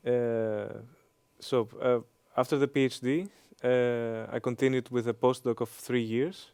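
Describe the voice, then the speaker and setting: A man in his thirties with a Greek accent, answering interview questions with many hesitant pauses and fillers. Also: mid-range voice, flat delivery, a little mellow, clean tone, no room sound. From Greece. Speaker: interview guest, engineering professor.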